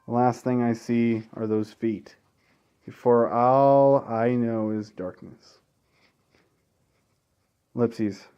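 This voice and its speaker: Slow-reading man hosting a game playthrough. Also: medium pitch, fairly even intonation, smooth, no echo, highs cut off. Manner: stumbles over text and corrects himself, swears casually. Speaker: gaming video host. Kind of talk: reading a visual novel aloud with casual asides. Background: faint game music.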